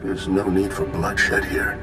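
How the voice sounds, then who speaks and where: An actor playing a stern, self-righteous Jedi Master in a video game, speaking in a measured, formal and solemn way. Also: male voice, low-pitched. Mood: measured, calm. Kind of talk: video game cutscene acting. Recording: echoey voice over game music.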